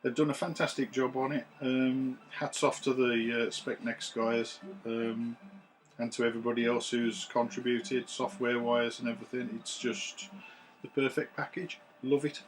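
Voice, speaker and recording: A man, his voice a bit rough from recovering after losing it, chatting to camera in a relaxed, rambling way with many ums, recorded on a camera's built-in mic in a small room. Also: medium pitch, normal speed, slight background noise, poor audio. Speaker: retro games collector vlogger. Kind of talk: casual chat to camera. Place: small room full of retro computers.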